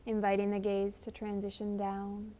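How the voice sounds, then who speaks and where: A woman leading a guided meditation in a gentle, soothing, unhurried manner with long pauses. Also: mid-range voice, completely flat delivery, lo-fi sound.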